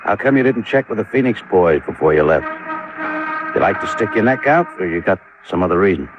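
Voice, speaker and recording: An American actor playing a blunt, skeptical homicide sergeant in an old-time radio drama. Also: male voice, low-pitched, sing-song, fairly quick. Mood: suspicious, grudging. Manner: blunt, gruff, unimpressed. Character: gruff, skeptical, grudging homicide police sergeant. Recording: dull, noisy vintage radio recording.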